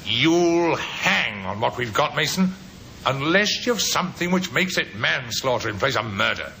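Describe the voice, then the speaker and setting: A firm, shrewd detective inspector with a gravelly edge and a sharp, forceful tone. He presses in clipped, authoritative phrases. It sounds like a vintage radio play with faint noise. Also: big pitch swings, a little slow, full character voice. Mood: firm, probing. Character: shrewd, firm Scotland Yard police inspector. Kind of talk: 1950s radio drama acting. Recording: old radio recording with noise, rumble.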